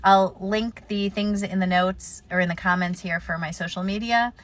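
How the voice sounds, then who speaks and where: A woman's voice: mid-range voice, fairly even intonation, normal speed. A woman florist with a slight cold answering viewer questions in a live stream, offering practical advice in a conversational way with plenty of fillers.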